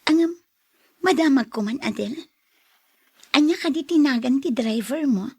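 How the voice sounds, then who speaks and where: A woman with an Ilocano Filipino accent and husky voice playing an inquisitive, gossipy friend in an audio drama. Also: sits high, lively intonation, moderate pace, no room sound. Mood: curious, envious.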